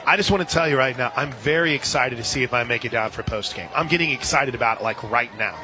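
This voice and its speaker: A man doing live radio play-by-play of a softball game, slipping conversational asides in between pitch calls. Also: fast. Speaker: play-by-play announcer. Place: outdoor softball stadium broadcast booth. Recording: loud crowd and outdoor stadium noise. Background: crowd noise.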